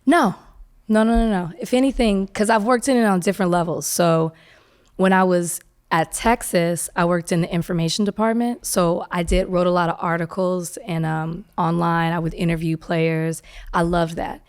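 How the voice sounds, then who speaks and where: Soft-spoken woman guest, low-toned. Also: fairly even intonation, normal speed, clean tone. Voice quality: soft, soothing voice. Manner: chatty, laughs easily. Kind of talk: casual interview chat.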